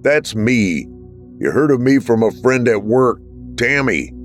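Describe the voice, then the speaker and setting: A man voicing a worn-out, desperate handyman who pleads and explains, pitched well above his own voice. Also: very animated, measured pace, faint music behind. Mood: frustrated. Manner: weary, pleading.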